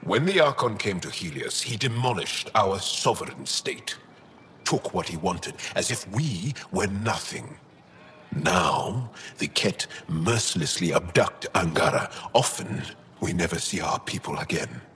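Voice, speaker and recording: Low, wary alien resistance fighter. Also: male voice, animated, measured pace, fairly bright tone, slight background noise. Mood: grave, bitter. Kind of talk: video game dialogue.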